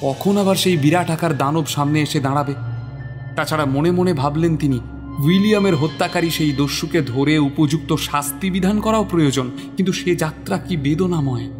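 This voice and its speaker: A man narrates a dramatic literary audio story. His voice is a little high for a man, measured and expressive, with music clearly playing behind it.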